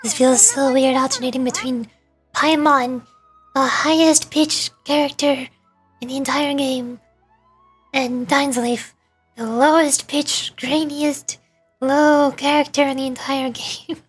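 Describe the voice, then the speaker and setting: A woman's voice: high voice, fairly even intonation, slow pace. A woman chatting casually as she streams a video game. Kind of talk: casual gameplay chat. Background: game music.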